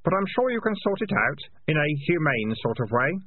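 Storybook lad, read by a man: cool, sly, mock-polite, lighter raised pitch, narrow phone-like audio. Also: big pitch swings, a little fast, no echo.

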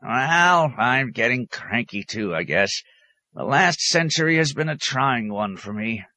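A man's voice: mid-range voice, lively intonation, a little slow, bright, forceful tone, voice pushed up. A man voicing a cranky, ancient desert hermit in an audiobook, grumbling and indignant, with crusty, archaic scriptural turns of phrase. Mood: crotchety, teasing.

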